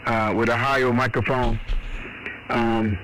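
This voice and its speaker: A man's voice: medium pitch, level tone, slow pace, a bit bright. A man from Boston in casual, folksy, rambling conversation, heard over shortwave amateur radio. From Boston, United States. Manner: folksy, rambling, frequent "man" and "you know". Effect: heard over shortwave ham radio. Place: home radio shack. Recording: noisy shortwave radio transmission. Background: radio static.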